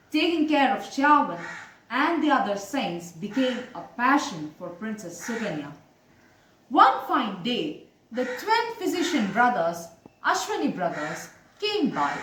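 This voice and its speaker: A woman tells a moral story in a deliberate, expressive amateur style. Her voice is on the high side and moves up and down a lot. She sounds slightly away from the microphone. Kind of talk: narrated moral story for students. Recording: roomy, distant mic, faint noise.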